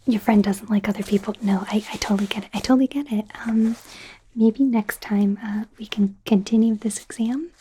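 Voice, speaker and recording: A woman voicing a young werewolf medical student in an ASMR roleplay, in a soft, breathy whisper with a hesitant, rambling, self-conscious manner. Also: medium pitch, fairly even intonation, brisk, a little hiss or hum, close to an everyday voice. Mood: hopeful, resigned. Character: young female werewolf medical student, awkward, eager, kind.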